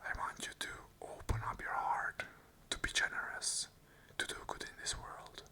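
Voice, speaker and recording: An ASMR host whispering an unscripted monologue of earnest, repeated affirmations in short phrases. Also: moderate pace. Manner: whispers the whole way, soft and unvoiced.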